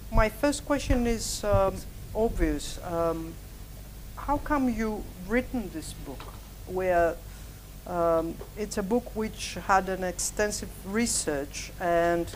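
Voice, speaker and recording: A woman's voice: mid-range voice, a little hiss or hum, rough recording. A woman journalist with a Greek accent, moderating a public talk and introducing the guest with many hesitant fillers. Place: lecture hall.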